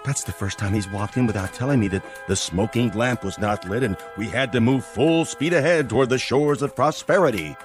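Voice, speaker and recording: A man's voice: mid-range voice, a little fast, few pauses, lightly acted. An actor playing a wry male office worker in a radio drama, talking with mock grandeur. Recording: radio drama with music underneath.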